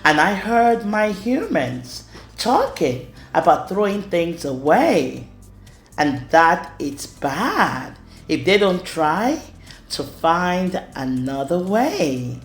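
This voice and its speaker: Very slow, lively woman reading a children's story. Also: on the low side. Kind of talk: expressive rhyming read-aloud for children. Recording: roomy echo with music underneath. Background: music.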